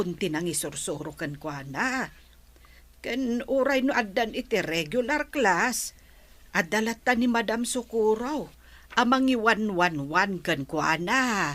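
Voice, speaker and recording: A woman's voice: fairly high pitch, a bit bright, full character voice. A woman playing a respectful, devoted elderly housemaid and grandmother in a radio drama, speaking deferentially with an Ilocano accent. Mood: admiring, animated.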